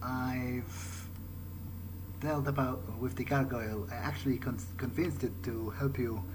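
A man's voice: mid-range voice, steady tone, unhurried. Hesitant man roleplaying over game noise. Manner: hesitant, stammering, repeats words. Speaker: gaming video host playing in character. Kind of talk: improvised in-character game roleplay. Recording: clear game ambience noise behind. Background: video game ambience.

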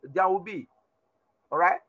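A man with a hoarse, tired voice teaching a live online trading class, repeating himself and urging students to respond. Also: sits high, measured pace, fairly bright tone, lo-fi sound. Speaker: trading instructor. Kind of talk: live online class over a chart screen.

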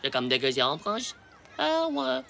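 A woman's voice: mid-range voice, wildly swooping pitch, a little slow, vocal fry, a bit bright, slight background noise, full character voice. A woman voicing a brash, boastful, scheming ten-year-old boy in a French cartoon, with a cheeky, slangy, mock-confident way of talking. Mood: eager. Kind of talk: French animated cartoon dialogue. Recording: music behind the voice. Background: cartoon music.

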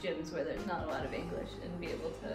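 Low-voiced American woman, echoey room. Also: fast talker. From United States. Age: 20s. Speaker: interview guest, Muay Thai fighter. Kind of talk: casual interview answers. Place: small hard tiled room indoors. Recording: echoey tiled room, distant mic, background music.

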